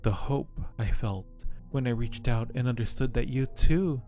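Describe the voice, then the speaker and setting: A man voicing an ancient female dark spirit in a visual novel, solemn, mournful and devoted, with formal phrasing. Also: mid-range voice, unhurried, soft background music, natural voice. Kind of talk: dramatic visual novel reading.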